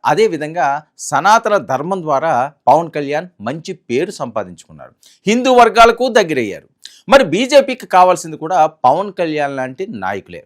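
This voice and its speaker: Animated, higher-pitched man presenting news. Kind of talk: scripted political news analysis narration.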